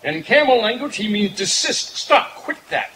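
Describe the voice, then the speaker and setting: A man's voice: high-pitched, big pitch swings, moderate pace, loud music behind the voice. A man playing a pompous, mild-mannered glutton with prissy, flowery diction in an old-time radio comedy. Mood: haughty. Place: outdoor stage in woods. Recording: noisy live outdoor stage.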